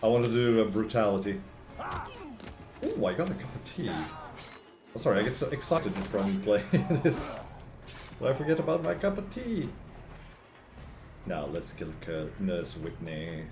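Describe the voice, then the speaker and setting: Low, gravelly-voiced male streamer. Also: a little slow, long pauses, faint music behind. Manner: chatty, excitable, reading lore aloud. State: hoarse from shouting. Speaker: gaming streamer. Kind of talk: casual gameplay commentary. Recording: echoey room with faint noise.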